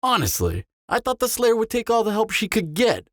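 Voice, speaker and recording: A man's voice: high voice, wildly swooping pitch, a bit bright, dry recording, clean studio sound, exaggerated voice. Man acting a smug, swooping flirtatious vampire. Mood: teasing. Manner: teasing, smug drawl with dramatic pauses. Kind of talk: scripted audio roleplay, intimate character acting.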